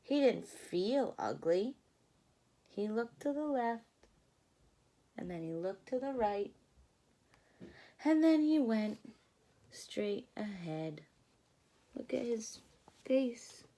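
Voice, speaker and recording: A woman in her thirties reading a children's storybook aloud like a teacher, expressively, pausing to explain and ask questions. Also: a little high, expressive, warm tone.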